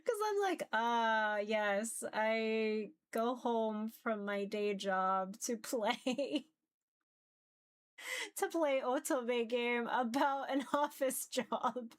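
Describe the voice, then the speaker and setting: A woman with a somewhat high voice talks to her livestream viewers. She goes slowly, in a loose, rambling and informal way. Her manner is giggly, like someone hanging out with chat during a game stream. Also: animated. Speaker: visual novel streamer.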